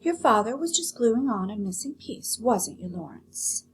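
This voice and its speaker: A woman's voice: a little high, sing-song, a little slow, a little hiss or hum. Woman acting a meek, tremulous older country wife. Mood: nervous, placating. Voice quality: tremulous, shaky. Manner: meek, folksy. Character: gentle, timid older country wife and mother.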